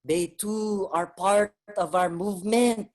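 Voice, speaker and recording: An actor playing an earnest Filipino migrant writer and activist, narrating dramatically in a staged reading. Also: male voice, sits high, fairly even intonation, takes it slowly, darkish tone. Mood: rallying, urgent.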